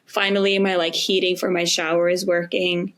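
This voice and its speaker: A woman's voice: fairly low pitch, flat delivery, normal speed, a bit bright. A woman in her twenties, a native Norwegian speaker, chatting casually as a podcast guest, with many fillers and laughs. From Norway. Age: 20s. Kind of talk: casual conversation.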